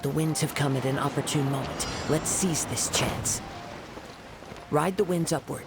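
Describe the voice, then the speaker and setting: A man voice actor playing a calm, poetic young wandering swordsman in a video game, speaking in measured, formal phrases. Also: a little high, creaky. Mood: composed, focused. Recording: loud music behind. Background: game music.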